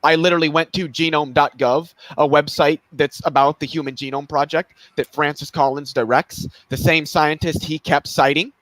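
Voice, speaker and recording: A man's voice: fairly high pitch, steady tone, normal speed, hardly any pauses, a bit bright, no echo, poor audio. A man in a heated casual chat, talking bluntly and swearing, indignant about a debate opponent. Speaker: co-host of a debate review stream.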